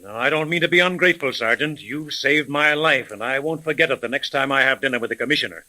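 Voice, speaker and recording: A man playing a fussy, anxious gentleman in a 1930s radio drama, polite and hesitant. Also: medium pitch, quick pace, low-quality recording. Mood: grateful, flustered.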